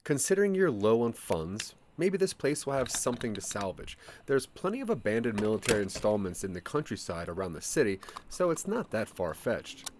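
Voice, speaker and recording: Male narrator for scripted interactive fiction: lowish register, very varied intonation, dramatic and deliberate. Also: big pitch swings, slight background noise. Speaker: narrator of a branching audio drama. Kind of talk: scripted second-person story narration.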